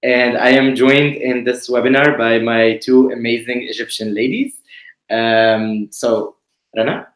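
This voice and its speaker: A man from Tunisia hosting an online presentation, explaining in a measured way with frequent pauses. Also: mid-range voice, steady tone. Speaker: webinar host, committee director. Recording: roomy echo.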